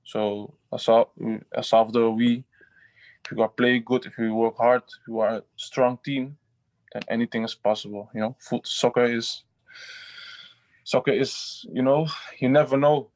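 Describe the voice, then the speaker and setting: Guy footballer, halting answers, monotone, dry close sound. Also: mid-range voice, no echo, poor audio. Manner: halting, restarts often, simple phrasing. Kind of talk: interview answers.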